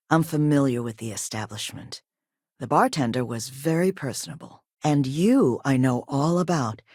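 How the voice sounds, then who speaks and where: A woman voicing a composed, businesslike company owner in a video game, with a polite, measured and formal manner. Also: a bass voice, darkish tone, slightly rough, no room sound, clean studio sound, exaggerated voice. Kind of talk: video game dialogue acting.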